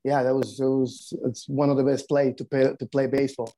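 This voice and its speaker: A man from the Dominican Republic with a Dominican Spanish accent, speaking earnest, halting English as a guest coach. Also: mid-range voice, little pitch movement, warm tone.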